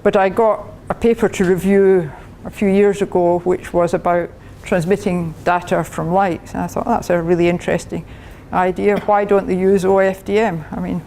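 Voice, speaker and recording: A woman's voice: lowish voice, flat delivery, moderate pace, few pauses, dark tone, a little hiss or hum. An older Scottish woman, a professor, giving a chatty technical talk to a club, laughing often and restarting her sentences. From Scotland. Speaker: guest speaker, professor.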